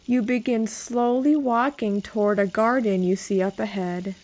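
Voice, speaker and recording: A woman narrating a guided meditation in a calm, measured, instructional way. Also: a little high.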